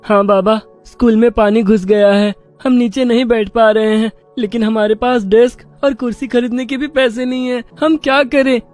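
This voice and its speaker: Man as a poor village schoolboy, earnest, respectful, high voice, storybook cartoon, music behind. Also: steady tone, moderate pace, few pauses, darkish tone. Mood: worried. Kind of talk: children's moral story voice acting.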